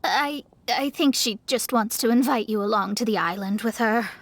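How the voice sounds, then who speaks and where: A woman's voice: a little high, normal speed. A woman voicing a wry, put-upon young astrologer in a video game, with a dry, reluctant manner. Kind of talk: video game cutscene acting.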